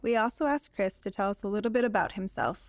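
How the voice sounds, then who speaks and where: A woman's voice: mid-range voice, quick pace, no room sound. A woman reading scripted narration as the host of an interview programme.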